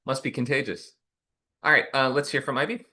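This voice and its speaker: A man's voice: mid-range voice, fairly even intonation, brisk, bright, forceful tone. A man hosting a writing workshop over a video call, who says he is getting sick and losing his voice, speaking in a friendly, encouraging way. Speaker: workshop host. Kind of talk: casual chat leading an online workshop.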